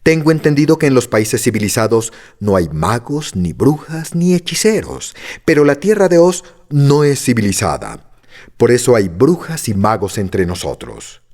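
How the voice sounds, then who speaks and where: Male narrator doing a sweet old witch, gentle and lilting. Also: mid-range voice, very animated, moderate pace. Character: kindly little old witch woman.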